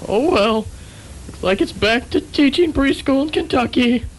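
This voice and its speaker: A very high, squeaky voice as a timid rookie cop in a comic radio play. He is meek, with slight noise behind him. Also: lively intonation, measured pace, poor audio. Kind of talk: amateur comedy radio drama.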